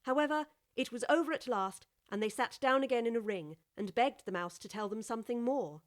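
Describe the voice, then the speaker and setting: A woman's voice: fairly high pitch, brisk. A woman narrator reading a scripted children's audiobook story.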